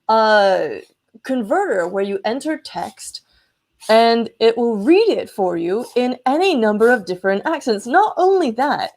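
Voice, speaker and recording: A woman talking casually and enthusiastically to viewers as the host of a tech live stream. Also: on the high side. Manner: enthusiastic, exclaiming.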